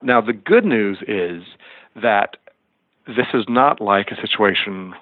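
A man's voice: on the low side, animated, takes it slowly, no room sound. A man explaining things as an expert interview guest, heard over a thin, phone-like connection. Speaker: guest, music and podcast lawyer.

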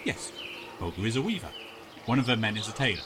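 An actor playing a gullible, easily indignant elderly furred villager in an audio drama, with a hesitant, folksy manner. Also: male voice, fairly low pitch, very animated, quick pace, fairly bright tone, a little hiss or hum. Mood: helpful. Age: older.

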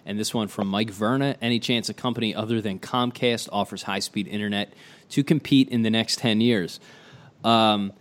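A man hosting a casual sports podcast, tired from little sleep, with a deep morning voice and a chatty, loose way of talking. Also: fairly even intonation, normal speed. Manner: chatty, loose, many fillers. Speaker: podcast host.

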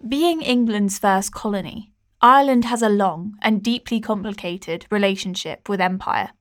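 A woman podcast host who reads a scripted intro and then asks interview questions in a clear, measured way. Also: medium pitch.

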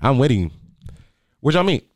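A man's voice: mid-range voice, sing-song, normal speed. A young man hosting a podcast in casual chat. He stutters and restarts, jokes bluntly and laughs often. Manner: joking, teasing, blunt. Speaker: podcast host.

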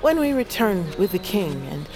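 An actress playing an old healer witch and grandmother in a fantasy audio drama, wry and wise, speaking in measured, cryptic storytelling phrases. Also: medium pitch, sing-song, a little mellow, low-quality recording. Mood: firm, dignified. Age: older. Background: music behind the voice.

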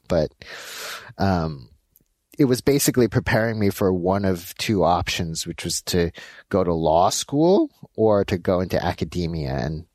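Low-voiced man with halting answers. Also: darkish tone, no echo. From Los Angeles, United States. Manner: halting, many ums and uhs. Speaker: animation director. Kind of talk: interview answers.

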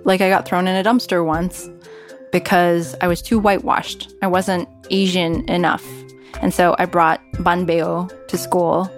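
Female storyteller, American accent, conversational personal essay, candid and wry, music bed underneath. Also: lowish voice. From San Francisco Bay Area, California. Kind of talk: conversational personal narrative commentary.

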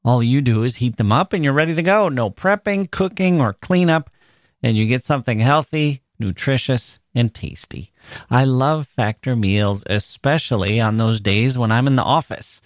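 A man presents a podcast in a mid-pitched voice with a very dry, close sound. He reads to listeners casually, with a playful touch of mock seriousness. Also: normal speed, no echo. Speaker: podcast host. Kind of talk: casual, scripted ad reads and listener email reading.

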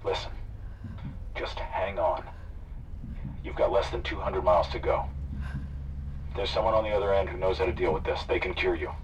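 A man's voice: deep voice, steady tone, normal speed, long pauses, muffled recording, natural voice. An actor playing a menacing, coldly persuasive male pursuer who talks with insistent, condescending control in an audio drama. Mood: urgent persuasion. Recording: distant, noisy vehicle radio.

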